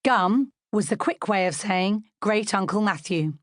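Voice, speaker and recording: A woman's voice: mid-range voice, animated, measured pace, dry recording. British woman reading a story, dry close sound. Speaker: audiobook narrator. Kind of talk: scripted storybook narration.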